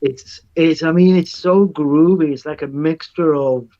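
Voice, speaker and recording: A man's voice: fairly high pitch, mellow, dull audio. A man whose first language is Greek, a music producer guest chatting casually and eagerly, often repeating and restarting words. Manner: enthusiastic, halting, repeats words. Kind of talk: casual chat.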